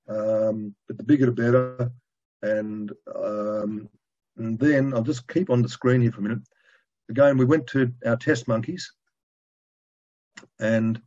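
A man in his sixties with a fairly low voice presents technical material informally from home. He talks in a colloquial, halting, conversational way. The sound is dull and lo-fi, as from a computer microphone on a video call. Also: darkish tone. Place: home room, indoors.

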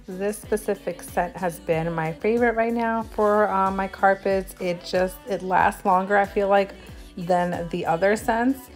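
A woman's voice: medium pitch, fairly even intonation, measured pace. A woman who is a stay-at-home mom, chatting through a voice-over for her clean-with-me video. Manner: chatty, upbeat product talk with fillers. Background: faint background music.

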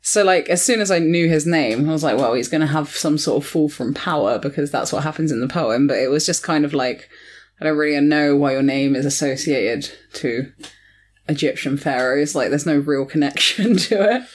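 A woman's voice: sits low, normal speed. A woman in a casual film chat, blunt and informal. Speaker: podcast guest co-reviewer.

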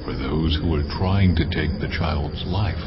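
A solemn angel gives commands in a very deep, measured voice. It is a dramatized scripture production, slightly dull in sound, with music swelling loudly behind. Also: male voice. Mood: solemn, commanding. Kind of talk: dramatized Bible reading. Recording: dull audio under loud music.

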